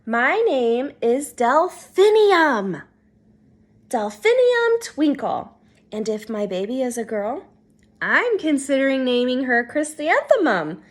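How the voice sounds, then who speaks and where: A woman voicing a kindly, self-assured music teacher in a storybook, measured and reassuring. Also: sits high, sing-song, full character voice. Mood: calm, proud.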